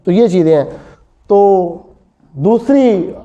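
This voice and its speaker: A man's voice: sits high, animated, slow pace, dark tone. A man with a Pakistani accent giving an explanatory classroom law lecture, switching between languages mid-sentence. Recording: dull lo-fi audio.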